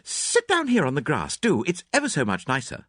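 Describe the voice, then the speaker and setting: A man playing a spirited young woman in a storybook reading, light and teasing. Her voice sits high and moves with wide swings of pitch. It sounds close and dry. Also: wildly swooping pitch, moderate pace, no echo, heavily acted. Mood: playful. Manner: bright and playful. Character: lively, cheeky young woman.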